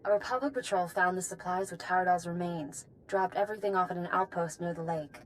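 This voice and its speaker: A woman's voice: lowish voice, a little fast, a little hiss or hum, natural voice, poor audio. A woman voicing a businesslike contact who briefs her captain in a video game, in a matter-of-fact manner.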